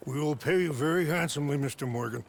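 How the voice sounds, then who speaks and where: An actor playing a grave older leader in a game cutscene, speaking in a formal, measured, polite manner. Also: male voice, fairly high pitch, a little hiss or hum, close to an everyday voice. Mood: grave, courteous. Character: courteous, grave older male leader seeking help. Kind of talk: video game cutscene acting.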